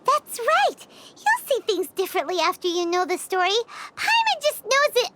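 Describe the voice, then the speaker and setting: A woman voicing a small, childlike fairy companion in a video game, squeaky, chirpy and earnest. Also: wildly swooping pitch, normal speed, noisy background, exaggerated voice. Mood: encouraging. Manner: earnest, refers to self in third person.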